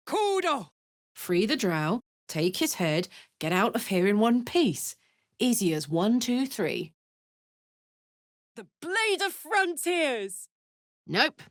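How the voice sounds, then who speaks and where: A woman playing a big, horned hellspawn warrior in a video game, brash and casual, warm-hearted, playful and hot-tempered. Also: mid-range voice, no echo, clean studio sound, full character voice. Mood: battle-ready. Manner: brash, casual, emotionally open. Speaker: video game character voice actor. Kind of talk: expressive video game voice acting.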